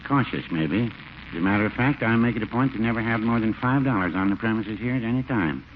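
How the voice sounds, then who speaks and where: An actor playing a mild, wary small-town druggist, speaking with dry hesitation in 1940s radio comedy style. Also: male voice, on the low side, animated, quick pace, natural voice. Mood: cautious advice. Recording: muffled, faintly noisy old radio recording.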